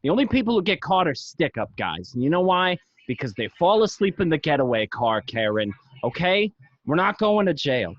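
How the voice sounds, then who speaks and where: A man's voice: fairly high pitch, sing-song, moderate pace, dry recording. A man acting a cocky young mobster husband, brash and insistent, in a radio play. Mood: cocky, dismissive. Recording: dry, slightly dull home recording.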